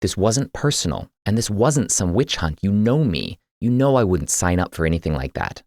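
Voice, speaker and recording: A man's voice: sits low, very animated, normal speed, a little mellow, no echo, voice pushed up. A duty-bound man who guards the keys, played by a male audiobook reader. He is firm but civil, defensive and reasoning, holding himself in check. The recording is dry and close. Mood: earnest. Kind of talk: audiobook character dialogue.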